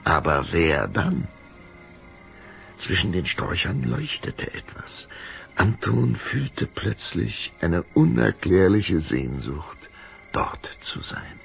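A man's voice: deep voice, sing-song, takes it slowly, gravelly, fairly bright tone, faint music behind, full character voice. A man narrating a children's vampire audio drama as a dramatic, suspenseful storyteller.

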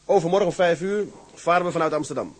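A man's voice: a little high, fast talker, lightly acted. An actor playing a weary, earnest, fatherly merchant sea captain in a Dutch radio drama. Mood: brisk, friendly.

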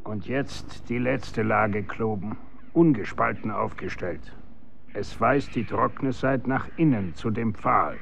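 An actor in a German radio drama playing a stern, grave executioner instructing his son in commanding, archaic, measured diction. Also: on the low side, vocal fry, slight background noise.

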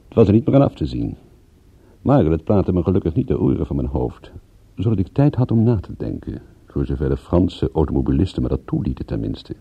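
An actor playing a wry, world-weary private detective in a radio drama, sardonic, with a gravelly, husky voice. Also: male voice, deep voice, sing-song, a little fast, no room sound, heavily acted. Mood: brooding. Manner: sardonic, dry-witted. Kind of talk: radio drama narration and dialogue. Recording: muffled, phone-like old radio recording.

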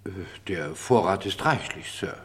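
A man's voice: low-pitched, animated, measured pace, a little hiss or hum. An actor playing a formal, deferential male butler in a radio play.

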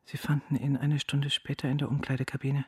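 An actress playing a middle-aged grieving mother in a radio drama monologue, speaking plainly and haltingly with restraint and a husky voice. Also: rumbling low, little pitch movement, darkish tone, no echo, clean studio sound, exaggerated voice. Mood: restrained grief. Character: grieving, quiet mother recounting her son's death.

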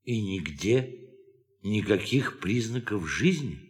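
Deep-voiced brooding crewman in reverb. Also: lively intonation, slow pace. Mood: troubled. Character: troubled, conscience-stricken male crewman on Mars expedition. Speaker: actor in audio play. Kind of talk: theatrical radio drama acting. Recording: long hollow reverb on voice.

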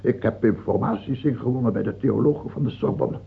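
Husky, anguished dying king. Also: mid-range voice. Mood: imperious. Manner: halting and stammering, turning imperious. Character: dying king of France, tyrannical, fearful, doubt-ridden, gout-ridden. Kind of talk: radio drama acting. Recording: muffled, tinny old radio-play recording.

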